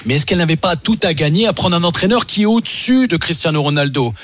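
A man's voice: a little high, expressive, fast, a bit bright, no room sound. A man, a former footballer and radio pundit, debating football and insisting on finishing his point. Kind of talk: lively sports radio debate.

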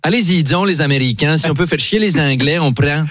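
A man's voice: on the high side, fast, a bit bright, lightly acted. A man voicing an old French king with a rustic Québécois French accent, in a jokey, mock-solemn impression.

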